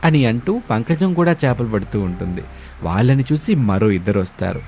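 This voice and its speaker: A man narrating an animated moral tale as a scripted storyteller. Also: fairly low pitch, moderate pace, soft background music.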